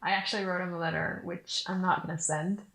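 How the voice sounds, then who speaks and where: A young woman playing a heartbroken client in therapy, speaking hesitantly with halting fillers. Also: on the low side, fairly even intonation, fairly bright tone. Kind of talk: acted therapy dialogue.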